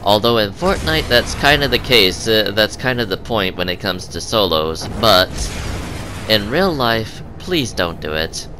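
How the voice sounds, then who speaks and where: A man giving casual gameplay commentary, halting with many ums and uhs, sniffly from a cold. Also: lowish voice, sing-song, noisy background. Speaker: gaming channel host.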